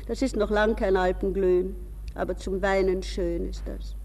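A woman's voice: medium pitch, steady tone, normal speed, mellow, in a large hall, natural voice. A woman playing a restless, ironic young society lady, musing to herself in a radio drama monologue. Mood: wistful. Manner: musing, self-questioning, shifting between reverie and mockery.

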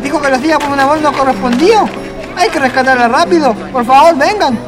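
An actor playing a desperate town gardener in a radio play, pleading urgently. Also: male voice, very high-pitched, quick pace, over music.

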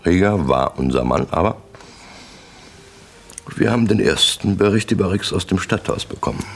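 Very deep, gravelly crime boss. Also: male voice, animated, slight background noise. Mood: lecturing, disdainful. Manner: deliberate, terse, lecturing. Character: coldly pompous crime syndicate boss, refined and menacing. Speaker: actor. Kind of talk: German crime radio drama acting.

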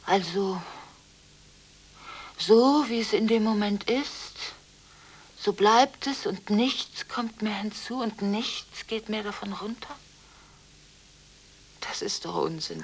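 Actress as distraught daughter on the phone, slow halting speech, breathy near-whisper, pleading, radio play, tape hiss. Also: female voice, mid-range voice, natural voice, poor audio. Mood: pained, arguing. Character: distraught, emotionally torn daughter on a late-night phone call. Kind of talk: 1980s German radio drama acting. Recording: hissy radio drama recording.

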